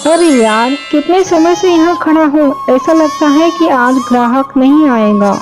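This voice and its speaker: A fed-up goat seller waiting for customers in a cartoon tale, voiced by a woman with a high voice. He grumbles in a bored tone. Music plays underneath. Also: unhurried. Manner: bored, complaining. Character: weary male goat seller. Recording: background music.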